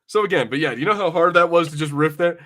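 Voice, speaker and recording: A man's voice: on the high side, fast, a bit bright. A young adult man in casual podcast banter, brash and teasing, swearing freely as he talks. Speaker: podcast co-host. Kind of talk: casual watch-party banter.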